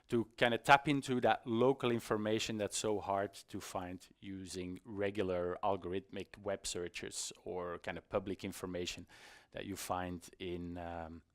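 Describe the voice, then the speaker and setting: A middle-aged man with a fairly low voice speaks as a conference presenter. His delivery is informal and conversational, with a self-deprecating manner and hesitant phrasing. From Belgium. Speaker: conference speaker, iOS developer. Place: conference stage in a hall.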